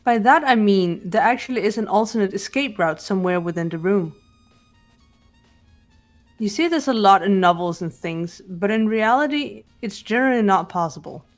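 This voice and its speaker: A woman plays a composed teenage girl detective from a mystery visual novel. She lays things out precisely and deliberately, in a mid-pitched analytical voice over game music. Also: animated, close to an everyday voice. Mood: calm, analytical. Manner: deliberate, precise, lecturing delivery.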